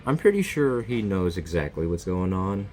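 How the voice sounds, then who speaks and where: A man's voice: deep voice, big pitch swings, moderate pace, darkish tone. A man doing casual, blunt and joking reaction commentary as he plays a video game. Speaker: gaming video creator. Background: faint game music.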